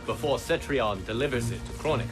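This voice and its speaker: An actor playing an earnest young monk guardian who speaks calmly and measuredly, in a game cutscene. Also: male voice, mid-range voice, fast, natural voice. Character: earnest young male monk turned keeper of time. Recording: game soundtrack with music.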